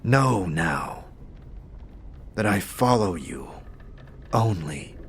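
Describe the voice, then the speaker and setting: A man voicing a weary, solemn warrior enslaved by his oath, speaking in grave, formal, halting phrases for an audio drama. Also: low voice, animated, slight background noise, heavily acted. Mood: grave, sorrowful.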